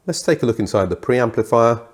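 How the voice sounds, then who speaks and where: A man's voice: mid-range voice, lively intonation, fairly quick. Congested British man reviewing hi-fi gear. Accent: British English. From Britain. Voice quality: thick, croaky. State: congested from a cold. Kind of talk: scripted product review.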